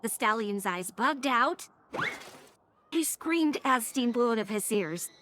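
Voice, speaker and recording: High-pitched synthetic woman storyteller. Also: expressive, slow pace, background noise. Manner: synthetic text-to-speech reading. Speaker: narrator. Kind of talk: scripted story narration.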